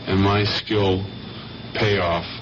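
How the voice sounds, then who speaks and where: A man's voice: deep voice, flat delivery, slow. A man giving an unscripted testimonial in a radio spot, on a muffled, noisy old recording.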